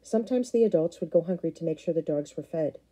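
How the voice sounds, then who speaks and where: A woman voicing a calm, plainspoken male herder from the far north who talks steadily. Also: a little high, fast talker.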